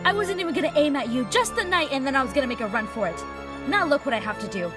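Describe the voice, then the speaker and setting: A woman's voice: sits high, lively intonation, fast, music in the background. An actress playing an unstable, bitter young female mage villain in an emotional, volatile fantasy audio drama performance. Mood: menacing, aggrieved.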